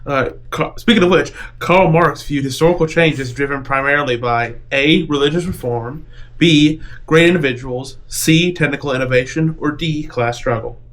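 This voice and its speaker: A man's voice: fairly high pitch, moderate pace, fairly bright tone. A young man chatting casually over a tabletop game and reading question cards aloud. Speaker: player in a history game.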